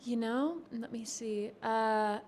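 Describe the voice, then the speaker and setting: A woman in her thirties being interviewed backstage, answering in a relaxed, rambling way and laughing easily. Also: on the high side, slow. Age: 30s. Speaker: touring bassist guest. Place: backstage at a concert venue.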